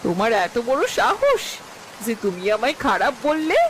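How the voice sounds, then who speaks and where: A woman acting animated, high-pitched village wife. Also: moderate pace, a little hiss or hum. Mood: defiant. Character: proud, scolding village wife in a folk-tale cartoon. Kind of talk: dramatic cartoon dialogue. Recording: music and rain under dialogue.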